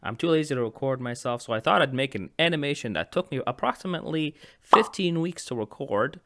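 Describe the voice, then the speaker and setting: A man's voice: medium pitch, expressive, brisk, a bit bright, no room sound, clean studio sound. A man from Egypt narrating a comedic childhood story, with rambling joking asides. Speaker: animation channel host.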